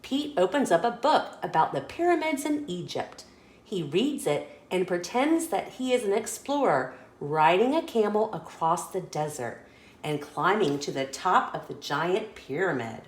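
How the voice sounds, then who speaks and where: A woman reading a children's picture book aloud as a story host, in an expressive, deliberate storytelling manner. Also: lowish voice, echoey room.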